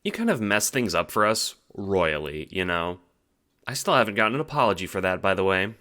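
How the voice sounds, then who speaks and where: A snarky teenage troll, acted out by a man, sneers through an audio drama. The delivery is smug and drawling, full of sarcasm, with pitch that swoops about. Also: sing-song, fairly quick, sharp tone, exaggerated voice. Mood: scornful, mocking. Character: snarky, foul-mouthed teenage troll taunting over chat. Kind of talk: amateur audio drama acting.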